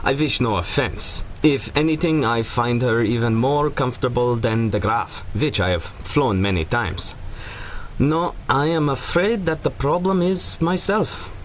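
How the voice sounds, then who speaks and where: A man playing an anxious, formal and troubled German gentleman traveller in a radio drama. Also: mid-range voice, unhurried, a little hiss or hum, close to an everyday voice. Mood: polite unease.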